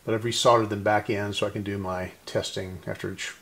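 Older man, low gravelly voice. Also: level tone, fairly quick, fairly bright tone. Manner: unscripted, thinking aloud while working. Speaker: host repairing vintage radios. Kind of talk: casual hands-on repair vlog. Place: indoor electronics workbench. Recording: noisy workshop, clicking sounds.

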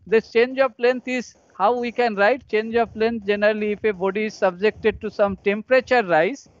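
High-pitched Indian man lecturing, dull audio. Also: fairly even intonation, no room sound. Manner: repetitive, halting step-by-step explanation. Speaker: engineering professor. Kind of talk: live online lecture, explaining equations. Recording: dull lo-fi online call audio.

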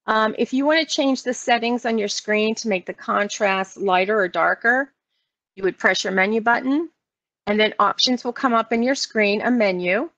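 A woman's voice: on the high side, moderate pace, a bit bright, dry recording. An American woman working as a trainer, explaining things step by step in an instructional walkthrough. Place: online meeting screen-share, voice only. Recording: dull lo-fi online meeting audio.

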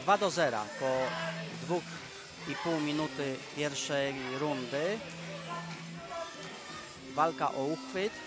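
A man's voice: a little high, slow pace, long pauses. A man giving live wrestling commentary with hesitant phrasing, often repeating phrases for emphasis. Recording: loud music behind the voice. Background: loud music.

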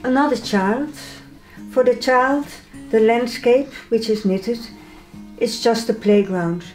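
An older woman from the Netherlands with a Dutch accent, calmly explaining her own paintings in her studio. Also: fairly high pitch, faint music behind, rough recording. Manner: calm, measured, slightly non-native phrasing. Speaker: artist. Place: home art studio, indoors.

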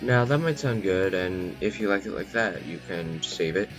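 A man giving a casual software tutorial as its host, in a poor home recording. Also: sits low, lively intonation, moderate pace. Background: plucked guitar music.

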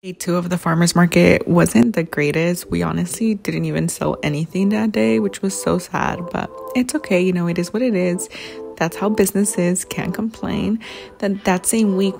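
A woman's voice: lowish voice, gravelly, darkish tone. Upbeat chatty young woman vlogger. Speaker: vlogger and entrepreneur. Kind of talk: casual vlog to camera. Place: car, home and outdoor market. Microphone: phone camera held at arm's length.

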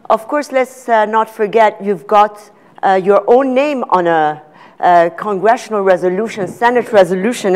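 Panel moderator, middle-aged woman; even mid voice, careful, pausing delivery on a conference stage. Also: mid-range voice. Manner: measured, hesitant with many fillers. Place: conference stage before an audience.

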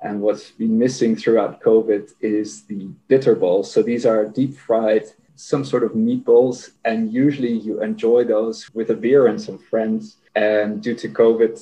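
A man's voice: lowish voice, flat delivery, unhurried, hardly any pauses, mellow, dull audio. A man with a Dutch accent, a company managing director talking casually as an interview guest, explaining with many fillers. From Netherlands.

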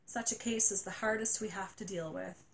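A woman's voice: mid-range voice, moderate pace, gravelly, slight background noise, natural voice, poor audio. A woman voicing an anxious hospital doctor discussing a patient in a storybook reading. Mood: worried. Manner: worried, earnest.

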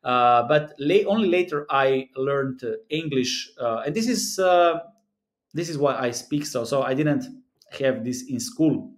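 A man's voice: medium pitch, animated, a little slow. European man. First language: a South Slavic language. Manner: earnest, repetitive, talks through numbers with many fillers. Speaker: sports betting analyst. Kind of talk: screen-recorded commentary. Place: small room indoors.

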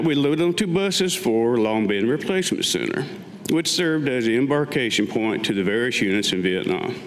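An older man, around seventy, reading his own written personal story aloud at a staged reading, with wry asides. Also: mid-range voice. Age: older, about 70. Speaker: Vietnam veteran reading his own piece. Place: public meeting room with audience, indoors. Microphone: gooseneck lectern microphone. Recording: reverberant hall, faint background noise.

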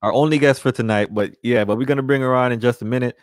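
A young man co-hosting a boxing podcast, relaxed and dropping "man" into his lines, on a large studio mic. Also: mid-range voice, fast, no room sound. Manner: relaxed, says "man" often. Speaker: co-host. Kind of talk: podcast interview. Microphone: large studio mic with headphones.

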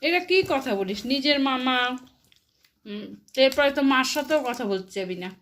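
A middle-aged woman, chatting and gently chiding like a mother on a family phone call. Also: sits high, lively intonation, a little slow. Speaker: mother on a phone call with her grown son. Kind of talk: casual family phone chat.

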